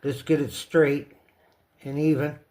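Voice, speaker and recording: A man's voice: on the high side, flat delivery, unhurried, darkish tone. A man casually narrating a hands-on DIY repair, talking himself through each step in a folksy, playful way. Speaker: host of a DIY repair video.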